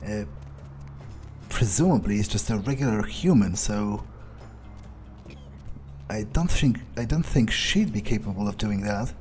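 A man narrates a game in character, thinking out loud in hesitant musings. His voice is dark and mellow, with faint music playing behind him. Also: on the low side, lively intonation, a little slow, pauses often. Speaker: player of a video game playthrough, speaking in character. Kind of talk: in-character roleplay gameplay narration, thinking aloud.

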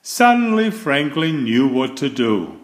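A man reading a children's picture book aloud as an expressive storyteller with deliberate phrasing. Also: medium pitch, echoey room. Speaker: storybook reader.

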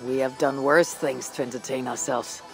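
An actress playing a calm, stoic and wry Viking raider hero in a video game, speaking in a measured way. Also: female voice, rumbling low, vocal fry, faint music behind, rough recording. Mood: wry, curious. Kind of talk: video game cutscene acting.

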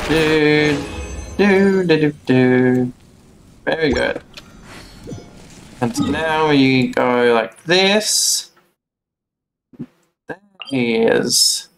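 A young man giving casual, unhurried gameplay commentary, musing to himself as he plays. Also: fairly high pitch. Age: young adult. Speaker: game streamer. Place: small room indoors at home. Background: game music.

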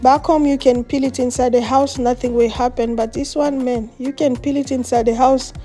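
Woman with a French or Swahili first language, chatty cooking vlog, high voice, mellow tone, faint music behind. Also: fairly even intonation, normal speed. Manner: chatty, laughs often, searches for words. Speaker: cooking vlogger. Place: outdoors on a patio and in a home kitchen.

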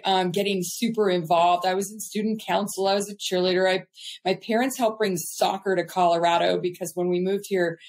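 A middle-aged woman from California, a business guest, telling her life story in a chatty, upbeat way with fillers. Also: medium pitch. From California, USA. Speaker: guest entrepreneur. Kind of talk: casual interview storytelling.

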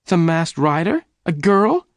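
Man voicing an emphatic, high-pitched western woman. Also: normal speed, no echo, clean studio sound, natural voice. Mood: surprised. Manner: emphatic, exclaiming, raised pitch. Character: earnest, excitable, warm-hearted western woman. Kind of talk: dramatised audiobook dialogue.

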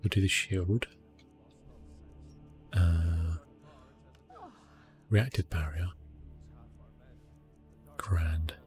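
A man giving soft, hushed ASMR gameplay commentary in a relaxed, unhurried manner. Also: sits low, soft background music. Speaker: gaming streamer, host.

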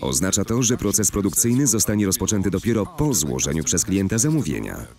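Deep-voiced man narrating a corporate film. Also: big pitch swings, a little fast, warm tone. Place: recording studio. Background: faint music.

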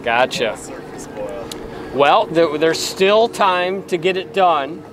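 A man's voice: on the high side, expressive, slow, crowd noise. A man in his thirties hosting a fishing video, chatting with young anglers outdoors on a lake, upbeat and encouraging. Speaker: fishing show host interviewing young anglers. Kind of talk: casual on-boat interviews. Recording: background music under the talk.

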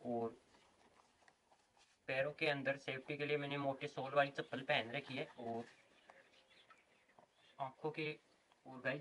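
A man's voice: medium pitch, heavy background noise. A man in his twenties hosts an experiment video outdoors on a rooftop, talking to his viewers as "guys" and explaining each step of the setup. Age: 20s. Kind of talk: casual experiment vlog narration. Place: outdoors on a sunny rooftop terrace.

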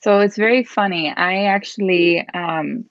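A woman's voice: medium pitch, a little slow, dry recording. A woman in her thirties from Miami, chatting casually as a guest on a video-call interview, with easy laughs and lots of "like" and "um". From Miami, Florida. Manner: chatty, laughs easily, many "like" and "um" fillers. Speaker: guest photographer. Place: home room with a chair. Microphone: webcam on a video call. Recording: dull, slightly lo-fi video-call audio.

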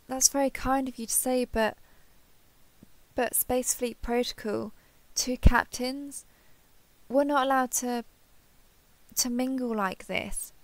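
Flustered, earnest starship captain played by a woman, high-pitched. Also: no room sound. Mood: flustered, tender. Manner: hesitant, halting, apologetic, confessional. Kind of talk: intimate audio roleplay, addressed to listener.

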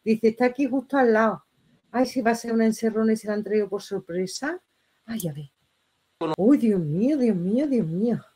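A middle-aged woman with a Spanish accent from Spain reacts out loud in a casual, chatty style. Her voice sits fairly high for a woman and her delivery is expressive and full of exclamations. She is recorded in a small room at home. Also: normal speed, slightly rough. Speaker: reaction video host. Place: small bedroom indoors.

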